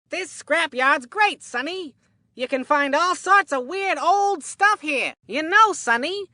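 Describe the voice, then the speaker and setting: A cheerful, forgetful old grandpa calling baseball in a kids' cartoon game. The voice is high and piercingly bright, recorded very dry and close. He rambles in a folksy, excitable way. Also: no room sound, heavily acted. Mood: chatty, cheerful. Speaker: sports commentator in a children's baseball game.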